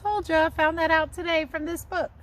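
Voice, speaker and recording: A woman teacher giving a chatty, expressive read-aloud lesson for young children, speaking outdoors. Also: extremely high pitch, a little fast. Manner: chatty, expressive asides and questions to listeners. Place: outdoors in a yard.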